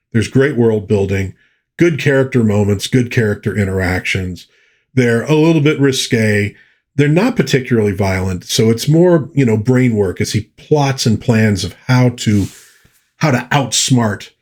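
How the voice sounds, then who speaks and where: A man's voice: on the low side, a little mellow. A man hosting a casual review of old pulp fiction, talking conversationally to viewers as an enthusiastic fan and often correcting himself as he rambles. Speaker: host of a pop culture channel reviewing old pulp fiction. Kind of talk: casual rambling book review to viewers, with short read-aloud passages.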